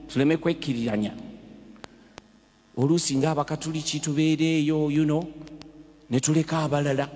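A Ugandan-accented man preaches from the pulpit, his voice sitting a little high for a man. He drives his points with rhetorical questions and urgent exhortations. A long church reverb trails every phrase. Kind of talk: sermon. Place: large church.

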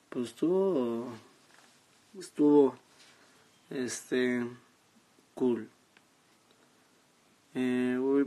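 A seventeen-year-old young man with a Mexican accent, chatting casually and haltingly as he reads out and answers comments on a live stream from his phone. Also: mid-range voice, a little mellow. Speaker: social media live streamer. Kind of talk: casual chat, reading out and answering viewer comments. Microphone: phone at arm's length.

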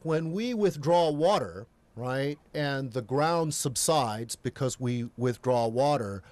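A man's voice: medium pitch, animated, slow, a little mellow, no echo. A middle-aged American man, a geologist guest, explaining earthquakes in a local TV studio with earnest restarts and self-deprecating asides. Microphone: desk mic.

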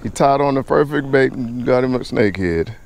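A man's voice: mid-range voice, expressive, fairly quick, gravelly, a little mellow, a little hiss or hum. A young man in a kayak on a lake, casually explaining his bait and fishing choices, recorded outdoors on a body-worn action camera. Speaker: fishing vlogger.

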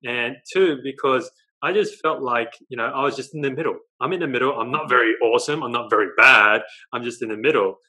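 Young Australian man, bright forceful voice, animated storyteller, earphone mic on a video call from his living room. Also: mid-range voice, a little fast, poor audio. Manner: animated storytelling, gestures a lot, tags lines with "right?". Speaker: guest, dating coach.

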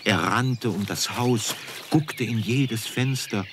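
A man's voice: mid-range voice, slow pace, noisy background. A man narrating a scripted children's story as storyteller.

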